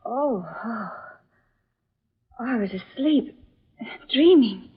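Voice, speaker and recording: A woman playing a frightened young film actress haunted by dreams, breathless and halting in 1940s radio melodrama style. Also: a little high, lively intonation, husky, full character voice. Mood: shaken, horrified. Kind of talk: 1940s radio drama acting. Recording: muffled vintage radio recording.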